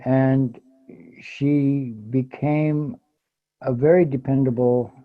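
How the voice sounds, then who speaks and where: A man in his seventies sharing an unscripted personal reminiscence at an online memorial, halting with long pauses between words. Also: medium pitch, steady tone. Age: 70s. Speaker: memorial speaker. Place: online video call. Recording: dull, lo-fi online call audio.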